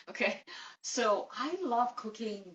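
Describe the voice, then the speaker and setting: A woman's voice: on the high side, fairly bright tone, dull audio. A woman from Russia with a Russian accent, chatting casually and giggling often on a date. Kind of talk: casual conversation. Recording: echoey room, distant mic, light noise.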